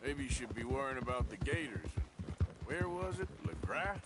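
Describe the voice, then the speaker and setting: An actor voicing a gruff, wry cowboy drifter in a video game, with an American accent and a laconic, dry delivery. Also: male voice, mid-range voice, sing-song, normal speed, natural voice. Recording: horse hooves and outdoor noise behind.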